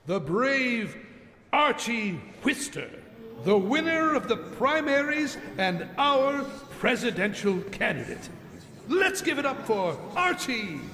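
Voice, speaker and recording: A man's voice: extremely high pitch, big pitch swings, takes it slowly, creaky, sharp tone, full character voice. An actor playing a pompous, grandiose male party announcer giving a political rally speech in a game cutscene. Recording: echoing hall with long reverb.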